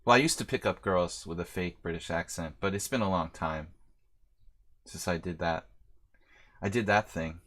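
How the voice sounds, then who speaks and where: A man's voice: deep voice, moderate pace, pauses often, fairly bright tone. A man streaming a chess simul, chatty and self-deprecating, thinking aloud over his moves. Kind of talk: live-stream commentary.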